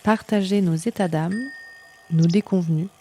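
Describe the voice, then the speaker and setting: A woman co-hosting a bicycle radio project, reading a scripted presentation clearly with small hesitations. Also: on the low side, steady tone, moderate pace, warm tone.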